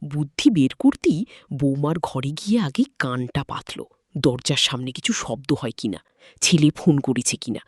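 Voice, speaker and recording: A woman's voice: extremely low pitch, lively intonation, moderate pace, husky, dry recording, studio quality. A woman narrating a story informally and dramatically, in a conversational, repetitive way with filler words.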